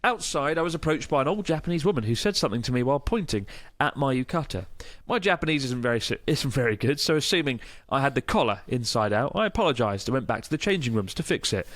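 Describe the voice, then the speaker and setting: A man's voice: mid-range voice, expressive, fast talker, hardly any pauses, a bit bright, no room sound, studio quality. A man in his thirties with a Northern English accent, co-hosting a casual podcast, chatting and reading listener mail aloud with laughter. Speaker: podcast co-host.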